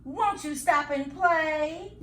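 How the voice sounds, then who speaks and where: A woman's voice: sits high, slow pace, fairly bright tone, echoey room, slight background noise, voice pushed up. A woman reading a storybook voices sweet, giggly little girl bunnies in a playful, sing-song manner.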